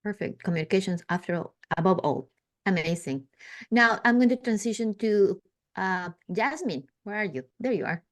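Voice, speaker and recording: A woman's voice: medium pitch, animated, moderate pace. A woman hosting a podcast interview, enthusiastic and full of praise. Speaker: podcast host.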